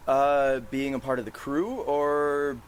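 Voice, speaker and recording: A man's voice: medium pitch, little pitch movement, slow pace, a little hiss or hum. A man hosting a casual podcast chat, talking in an informal, rambling way with some swearing. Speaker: podcast host. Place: outdoors on a porch.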